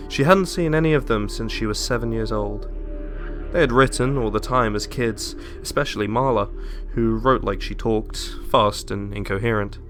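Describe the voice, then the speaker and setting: A man giving a dramatic, deliberate audiobook narration of a suspenseful horror story. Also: lowish voice, a bit bright. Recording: music under the voice.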